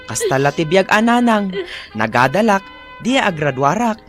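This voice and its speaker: A man playing a gentle young devoted son with an Ilocano accent in a radio drama. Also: high-pitched, sing-song, normal speed. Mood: fond. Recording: music behind the voice.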